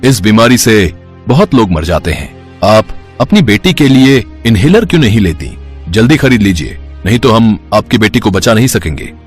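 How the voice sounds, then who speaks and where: A man's voice: lowish voice, very animated, normal speed, husky, faint music behind. A man voicing a grave, blunt village doctor in a cartoon story.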